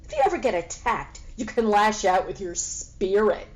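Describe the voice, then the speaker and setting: A woman's voice: mid-range voice, moderate pace, lightly acted, poor audio. A woman voicing a haughty, boastful female cat in a storybook, sassy and sarcastic, with grand self-important phrasing. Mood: scornful, mocking. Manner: sassy, grandly refers to herself in third person.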